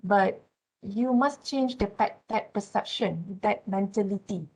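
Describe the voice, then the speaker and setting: A woman's voice: medium pitch, a little slow, darkish tone, husky, low-quality recording. Malaysian woman lecturing with tag questions. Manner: frequent "uh", tag questions "right?" and "yeah?". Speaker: university lecturer. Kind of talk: classroom lecture.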